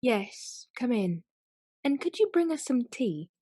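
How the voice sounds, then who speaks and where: A woman's voice: a little high, big pitch swings, unhurried, mellow, no room sound, clean studio sound. A woman playing a proud, weary aristocratic widow in a radio play, formal and clipped. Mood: coolly polite.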